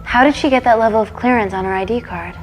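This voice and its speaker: A woman's voice: a little high, fast talker, natural voice. Urgent woman scientist, played by an actress, music underneath. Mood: alarmed. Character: determined woman scientist trapped in a locked-down lab. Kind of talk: naturalistic screen acting.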